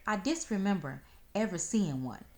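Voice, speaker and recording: A woman's voice: medium pitch, animated, moderate pace, mellow, pitched above the performer's own voice, natural voice. Storybook little girl, played by a woman: country talk, bold, sassy, stubbornly unimpressed. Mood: curious. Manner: plain-spoken, sassy rural dialect. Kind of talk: storybook read-aloud.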